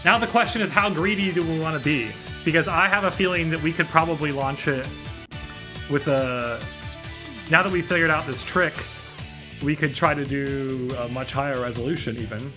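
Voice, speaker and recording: A man's voice: a little high, moderate pace. A man livestreaming a game, chatting casually and thinking aloud in slangy, mildly sweary language. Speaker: livestreamer playing a visual novel. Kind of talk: casual livestream chat while troubleshooting. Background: music.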